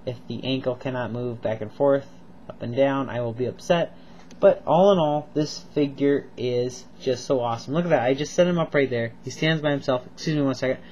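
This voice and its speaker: A man's voice: medium pitch, a little fast, darkish tone, muffled recording, a little hiss or hum. A man with a cold reviewing toy figures in a casual home video, rambling and enthusiastic with lots of ums and repeats. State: stuffed up with a cold, coughing.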